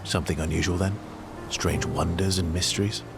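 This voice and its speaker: A man acting as a mysterious, wry veteran traveler in a video game, speaking in a measured, understated way. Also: sits low, fairly even intonation, vocal fry. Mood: dry, teasing. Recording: music under dialogue. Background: game music.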